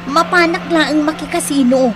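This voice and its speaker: A woman's voice: high-pitched, moderate pace, lightly acted. A Filipino woman acting a wealthy, anxious, demanding wife in an Ilocano radio drama. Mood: anxious, agitated.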